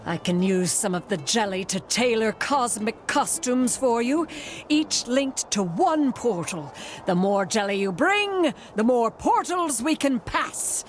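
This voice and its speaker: A woman's voice: a little high, expressive, unhurried, slight background noise. A woman playing a sly fortune-teller sorceress in a cartoon game, grand, coaxing and falsely sweet. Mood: coaxing, gleeful. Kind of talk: exaggerated cartoon game acting.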